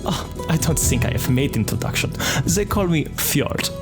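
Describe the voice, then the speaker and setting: Lively put-on craftsman, slow and musing, played by a man over music. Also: fairly high pitch. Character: male woodworker with a German flair, helpful.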